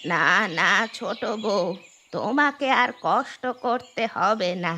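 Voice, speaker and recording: A woman voicing a cartoon mother-in-law, the household matriarch, with a deliberate, exaggerated delivery. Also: mid-range voice, lively intonation, bright, forceful tone, a little hiss or hum. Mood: doubtful. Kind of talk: Bengali cartoon storytelling voice acting.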